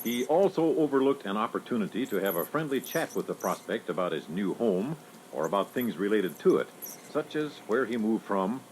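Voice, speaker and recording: A man reading scripted critique as a panelist on an instructional sales record. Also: fairly low pitch. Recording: faintly noisy old reel-to-reel training record.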